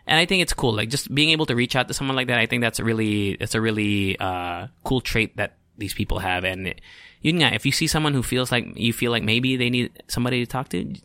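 A man's voice: mid-range voice, sharp tone, no room sound. A young man with a Filipino accent hosting his own podcast, talking in a rambling, chatty, self-deprecating way and dropping in Tagalog words. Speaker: podcast host. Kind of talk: casual solo podcast monologue.

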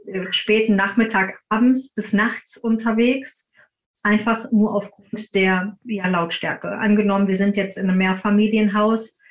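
Woman interview guest, slightly dull recording. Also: mid-range voice. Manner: explains in detail with examples. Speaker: podcast guest, paranormal investigator. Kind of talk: conversational interview answers.

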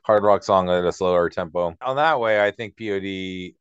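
A man's voice: deep voice, moderate pace, clear, resonant tone, no echo. A man co-hosting a casual music discussion, searching loosely for his words, on a dry, dull recording. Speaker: music discussion podcast co-host. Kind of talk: casual album critique.

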